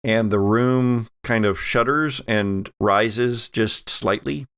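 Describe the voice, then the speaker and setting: A man runs a horror tabletop game, narrating in a low, gravelly voice. He takes his time, halting mid-phrase as he builds each scene, and sounds close and dry. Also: a bit bright, no room sound. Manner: halting, pausing mid-phrase to find words. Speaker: game master.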